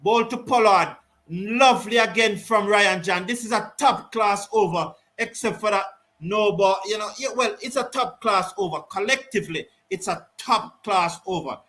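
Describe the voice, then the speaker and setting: A man giving live ball-by-ball cricket commentary and reading out viewer comments, excitable on big hits. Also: high voice, normal speed. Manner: lively, rises excitedly on big shots, Caribbean English phrasing. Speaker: cricket watch-along commentator.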